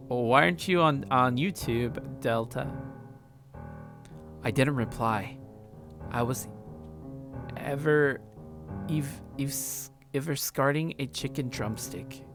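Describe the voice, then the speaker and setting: Man streaming a visual novel over soft music. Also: mid-range voice, slow, pauses often. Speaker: let's play streamer. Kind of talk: reading a visual novel aloud, with casual reactions.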